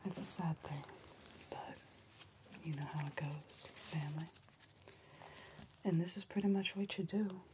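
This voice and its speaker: A young woman playing a deadpan backwoods helper in a soft-spoken ASMR crime roleplay, halting and often whispering. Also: sits low. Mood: soothing, hesitant. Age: young adult. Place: dim indoor room, seen at a bar counter. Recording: noticeable background noise, room ambience.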